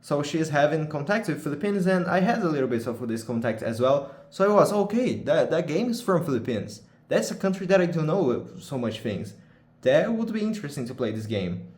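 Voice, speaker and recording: A man's voice: a little high, sing-song, a little fast, a little mellow, reverberant. A young man from Brazil with a Brazilian accent, chatting casually in English as a second language, often restarting and searching for words. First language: Portuguese. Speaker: vlogger. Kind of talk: casual unscripted chat.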